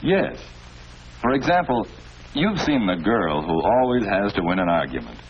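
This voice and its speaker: A fatherly, middle-aged American schoolmaster from a 1940s classroom film. His low voice swings expressively as he patiently explains. Faint noise from the old soundtrack sits under it. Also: very animated, moderate pace, rough recording. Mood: patient, instructive. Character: patient, avuncular male school principal or teacher. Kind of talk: 1940s educational film acting.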